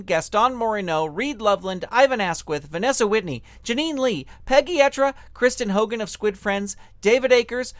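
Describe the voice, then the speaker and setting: Lively woman reading podcast credits. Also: medium pitch, no room sound. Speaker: credits announcer.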